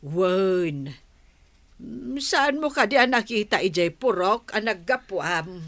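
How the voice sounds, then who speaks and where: A woman acting a kindly, resigned elderly village grandmother in a radio drama, with an Ilocano accent and a measured, drawling way of talking. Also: mid-range voice, expressive, fairly bright tone. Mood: friendly. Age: older.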